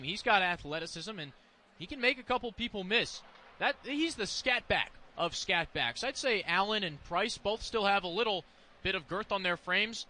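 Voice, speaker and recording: A man's voice: sits high, sharp tone. A man with an American accent giving live color commentary on football in an explanatory, conversational way.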